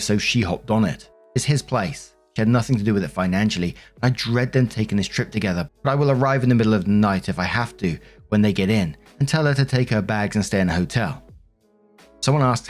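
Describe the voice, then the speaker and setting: A man narrating online stories aloud as a host, with informal, conversational commentary and casual swearing. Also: lowish voice.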